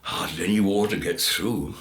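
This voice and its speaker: A man's voice: deep voice, normal speed, a bit bright, reverberant, voice pushed down. A man voicing God in a folk tale as a blunt, chatty, matter-of-fact figure handing out instructions. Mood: blunt, instructive. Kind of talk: storybook folk tale character voice.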